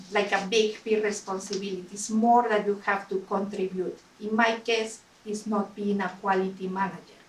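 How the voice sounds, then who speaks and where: A woman giving a motivational speech to a club, halting, with emphatic repetition. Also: medium pitch, a bit bright. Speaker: club speaker. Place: meeting room, recorded from a distance. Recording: echoey room, distant mic, faint noise.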